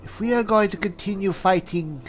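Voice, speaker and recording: A man roleplaying an earnest, worried cleric adventurer in a fantasy game, musing aloud in a halting manner. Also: high-pitched, lightly acted. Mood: resolved. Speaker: gamer playing a roleplay. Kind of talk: in-character improvised roleplay narration. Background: music.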